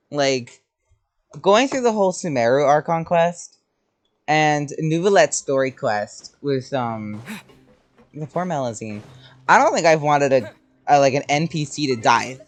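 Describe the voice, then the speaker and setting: A man chatting casually and informally as he reacts aloud to a game, sometimes swearing. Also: medium pitch. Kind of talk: casual chat, gameplay commentary. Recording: game music behind the voice. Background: video game music.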